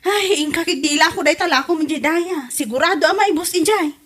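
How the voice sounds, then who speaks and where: A woman playing a gossipy, chatty village neighbour in a comedy audio drama, in an animated manner. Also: very high-pitched, a little fast. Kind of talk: comedy radio drama acting.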